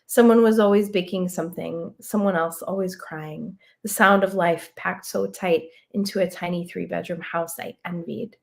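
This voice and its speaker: A woman author reading her own literary prose aloud over a video call, unhurried and soft-spoken, with casual asides. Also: fairly low pitch, steady tone, vocal fry.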